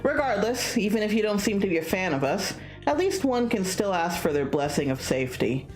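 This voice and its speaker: A woman's voice: mid-range voice, big pitch swings, a little fast, a little mellow, echoey room, natural voice, low-quality recording. Woman voicing a confident, blunt tiger god. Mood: confident, kindly. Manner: self-assured, blunt.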